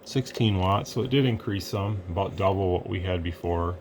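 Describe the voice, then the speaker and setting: A man's voice: sits low, normal speed, noisy background. A man casually talking through a hands-on radio equipment demo at his workbench, rambling and thinking aloud as he goes.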